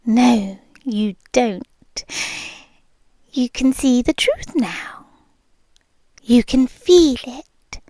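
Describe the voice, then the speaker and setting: Female actor as a British yandere heiress, obsessive and possessive, unhurried purring whispers. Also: on the high side, lively intonation, dry recording, heavily acted. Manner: soft whispered, slow, purring menace. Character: possessive obsessive rich young woman captor. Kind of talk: ASMR roleplay.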